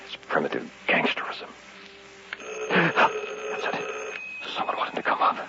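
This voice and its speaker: A man's voice: unhurried, bright, forceful tone. An actor playing a paranoid, desperate man trapped alone in an old radio horror drama, going between muttering and panicked cries. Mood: fearful muttering. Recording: muffled, hissy vintage radio recording.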